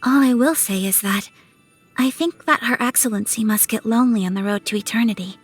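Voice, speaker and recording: A young woman playing a refined, dutiful, gentle noblewoman in a video game, speaking with formal, courteous, measured phrasing. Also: fairly high pitch, slightly rough. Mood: gentle, composed. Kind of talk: scripted video game character dialogue. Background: music.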